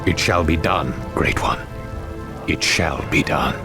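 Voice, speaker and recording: A proud, ancient fantasy warlord for a video game. The voice is deep and brooding, with solemn, grandiose command. Music is clearly heard behind it. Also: male voice, slow. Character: proud, brooding ancient demon hunter lord. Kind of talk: video game cutscene acting.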